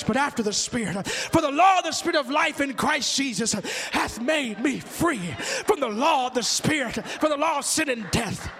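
A man preaching an impassioned sermon with a gravelly voice, fervent and emotional, in a rhythmic, repetitive, declamatory cadence. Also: very high voice, sharp tone. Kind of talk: impassioned sermon listing the names of Christ. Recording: long reverb of a large hall.

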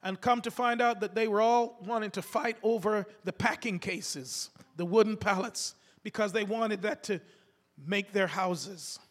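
A man preaching a sermon to his congregation, his voice gravelly from a cold, fervent and calling out to the listeners. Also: high-pitched, measured pace. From United States. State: has a cold. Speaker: pastor. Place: church sanctuary. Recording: echoey hall reverb.

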